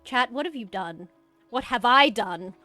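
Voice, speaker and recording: A hyper, bubbly woman with a high-pitched voice, chatting casually while streaming a game. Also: animated, unhurried, pushed and bright, soft background music. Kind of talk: casual gaming stream chat.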